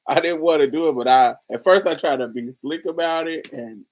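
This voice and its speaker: A woman hosting a casual chat, telling stories in a lively way with frequent laughter and free swearing. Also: sits low, fairly quick. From New Orleans, USA. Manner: animated storytelling, frequent laughter, swears freely. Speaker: host. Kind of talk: casual livestream chat with viewers.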